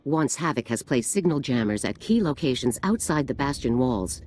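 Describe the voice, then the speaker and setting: An actor playing an authoritative, composed general who briefs troops in a formal, crisp military way in a video game. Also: male voice, mid-range voice, lively intonation, normal speed, a little mellow, lightly acted. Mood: commanding, composed. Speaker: military commander giving briefing. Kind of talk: scripted video game dialogue.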